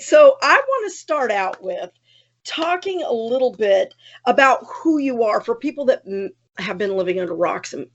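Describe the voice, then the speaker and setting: A woman's voice: mid-range voice, highs cut off. A woman hosting a podcast, introducing and questioning a guest in a casual, conversational way. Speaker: podcast host. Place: Dallas area, United States.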